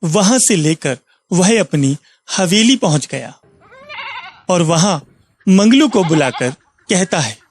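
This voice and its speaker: Slow, animated high-pitched male narrator. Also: breathy edge, no room sound. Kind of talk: scripted moral-story narration.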